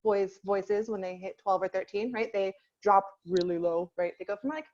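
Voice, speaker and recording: A woman's voice: mid-range voice, moderate pace, a little mellow. A young adult woman teaching an online singing class to children, chatty and encouraging with many fillers, heard over a video call. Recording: dull lo-fi video call audio.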